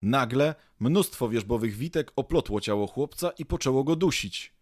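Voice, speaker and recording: A man's voice: mid-range voice, animated, moderate pace, dry recording, clean studio sound. A man narrating an audio drama in a scripted storytelling style.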